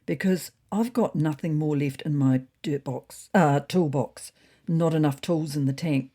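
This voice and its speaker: A woman's voice: low voice, dark tone. A woman voicing a melodramatic, self-pitying female cat politician in a comedy audio story. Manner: melodramatic, grim.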